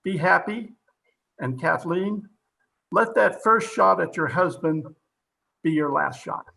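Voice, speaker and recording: A man's voice: sits high, slow, heavily acted, rough recording. A man playing a genial, teasing police captain in an old-time radio drama, jovial and conversational. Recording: home remote recording with clear background noise.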